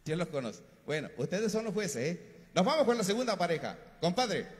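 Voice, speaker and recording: A man's voice: high voice, moderate pace. A man hosting a live outdoor concert, joking with contestants and announcing the band over a stage mic. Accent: Mexican Spanish. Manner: lively, joking, shouting into mic. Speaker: event emcee. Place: outdoor concert stage under a large roof. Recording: PA sound with long reverb.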